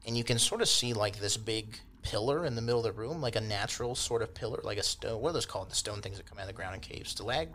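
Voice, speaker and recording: A man with a fairly low, bright voice narrates a tabletop game as game master. He describes things loosely and conversationally, adding little asides as he goes. There is faint noise behind him. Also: quick pace, few pauses.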